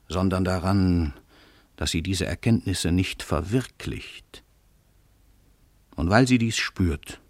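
An actor playing a world-famous crime writer, an arrogant, cynical murderer who declaims in a domineering, emphatic way in a radio drama. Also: male voice, deep voice, no echo. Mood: impassioned. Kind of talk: theatrical German radio drama monologue.

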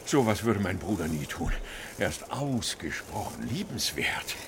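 A bookish, talkative retired gentleman scholar in a children's radio drama. His voice swings widely in pitch and has a gravelly creak, as he explains things with pedantic relish. Faint noise sits behind him. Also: big pitch swings, measured pace. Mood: chatty. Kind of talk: children's radio play acting.

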